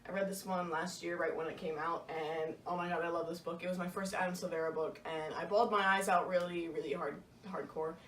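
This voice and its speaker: A woman's voice: on the low side, steady tone, brisk, few pauses, fairly bright tone. A young woman co-hosting a casual book vlog, talking earnestly in a loose, conversational way. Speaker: book vlogger, co-host. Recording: echoey room, distant mic, faint noise.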